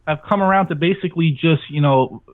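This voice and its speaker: A man's voice: on the high side, brisk. Chatty man on a tinny phone line. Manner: talkative, informal. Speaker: podcast network owner, guest. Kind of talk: casual interview chat.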